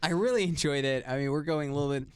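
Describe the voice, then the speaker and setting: A woman's voice: sits low, racing, clear, resonant tone, no echo, clean studio sound. A woman from Libya, a native Arabic speaker, hosting a casual radio interview in a conversational way.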